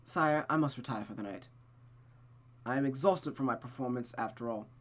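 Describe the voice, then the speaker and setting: A reader voicing a proud young noblewoman musician, sharp-tongued and formally polite, in a visual novel read aloud. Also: mid-range voice, moderate pace, close to an everyday voice. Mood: cool, curt.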